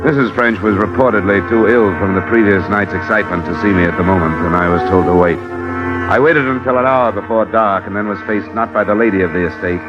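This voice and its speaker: A man's voice: lowish voice, quick pace, loud music behind the voice, lightly acted. An actor playing a blunt, probing investigator who narrates his case, dry and direct, in a 1950s radio detective drama. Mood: terse narrating. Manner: dry, direct, matter-of-fact. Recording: dull lo-fi old radio broadcast.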